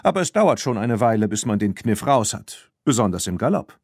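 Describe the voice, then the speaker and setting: A man's voice: lowish voice, sing-song, a little fast, a little mellow, no room sound, clean studio sound. A man voicing an easygoing, helpful boy rider in a children's audiobook, explaining things willingly.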